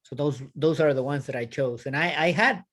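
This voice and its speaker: A man from Mexico talks politely and with care as a guest on a movie podcast. His voice sits a little higher than most men's. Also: a little fast, a bit bright.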